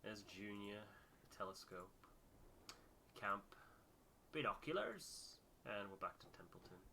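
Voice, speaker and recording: Male gaming host; casual commentary, very bright forceful tone, faint background noise. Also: lowish voice, sing-song, takes it slowly, vocal fry. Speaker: game player and host.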